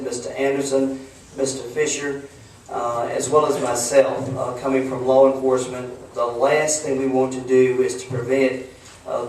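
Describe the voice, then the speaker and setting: A middle-aged man, a board member, formally addressing a public meeting in a measured, plain-spoken, earnest way. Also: mid-range voice, darkish tone. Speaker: board member with law enforcement background. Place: echoey public meeting room. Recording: very echoey room, distant mic, some noise.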